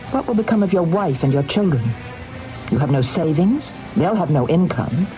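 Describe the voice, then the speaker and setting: Icy female schemer, domineering, coolly persuasive, animated intonation, vintage radio play, narrow tinny sound. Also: low voice. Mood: pressing, persuasive. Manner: commanding, crisp, coolly persuasive. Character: cold, calculating, domineering woman employer, murderer. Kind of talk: old radio drama acting. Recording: tinny narrow-band old radio recording.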